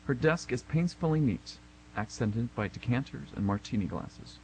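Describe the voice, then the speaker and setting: A man's voice: mid-range voice, expressive, normal speed, a little hiss or hum, poor audio. A man narrating and announcing for an amateur radio theatre show.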